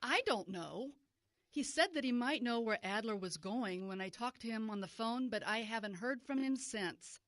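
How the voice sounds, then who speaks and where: A woman's voice: fairly high pitch, expressive, normal speed, fairly bright tone, no room sound, studio quality. A woman playing an anxious, indignant mother of a missing child in an audio drama, distressed and demanding. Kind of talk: modern audio drama acting.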